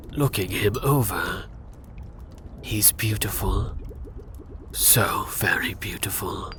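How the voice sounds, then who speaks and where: Slow, hushed man narrating ASMR. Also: on the low side, noisy background. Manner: hushed, often whispering, dramatic pauses. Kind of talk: ASMR scripted narration of fantasy roleplay.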